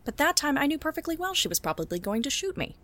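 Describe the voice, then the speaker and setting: A woman playing a sharp-eyed female private detective in a noir audio drama, speaking in a crisp, deadpan way. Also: high voice, quick pace. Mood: cool. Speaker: detective and narrator.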